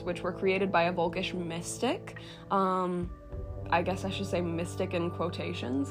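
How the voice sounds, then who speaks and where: A young woman with a stuffy, sniffly nose talks casually to camera, her voice sitting a little low. She fills her talk with ums, sarcastic asides and the odd swear word. Music plays clearly underneath. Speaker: tarot reader and video creator.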